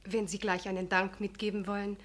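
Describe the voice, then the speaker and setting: A woman's voice: mid-range voice, flat delivery, fairly quick, lightly acted. A woman playing a young housemaid in a radio drama, deferential but insistent. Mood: dutiful. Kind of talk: German radio drama acting.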